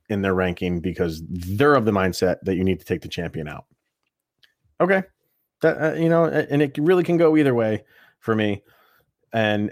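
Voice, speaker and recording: A man with a fairly low-pitched voice talks sports as a podcast host. He is conversational and opinionated, reasoning out loud in a casual way. The sound is dry, with no room around the voice. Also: dry recording. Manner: opinionated, conversational, thinking aloud.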